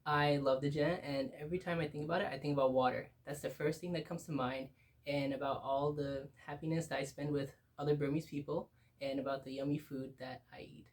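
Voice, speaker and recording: A woman's voice: sits low, steady tone, brisk, recorded from a distance. A young woman in her twenties, a college student guest, chatting in an upbeat, giggly way. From born in Burma, raised in New York. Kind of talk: casual chat.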